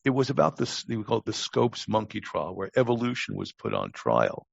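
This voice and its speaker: A man in his sixties with a gravelly voice, telling stories casually as a podcast guest in a halting, wry way with repeated words. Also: lowish voice, wildly swooping pitch, dry recording. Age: 60s. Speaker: podcast guest, longtime lifeguard. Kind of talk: casual interview storytelling. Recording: dull, lo-fi close recording.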